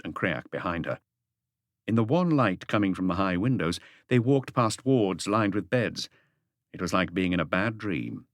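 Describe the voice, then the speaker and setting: A man's voice: deep voice, no echo, clean studio sound. A man reading scripted literary narration for a mystery audiobook.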